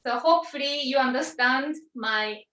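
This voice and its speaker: A woman with a Japanese accent, a Japanese native speaker, chatting softly as a singer-songwriter guest in an online interview. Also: high voice, lo-fi sound. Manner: slow, soft-spoken, laughs easily. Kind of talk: casual interview chat. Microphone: video call. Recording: echoey room, distant mic.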